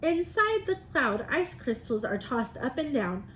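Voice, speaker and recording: A woman's voice: high-pitched, very animated, unhurried, fairly bright tone, rough recording. A woman teacher reading aloud and explaining science to young children in simple, encouraging phrases. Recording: noticeable background noise.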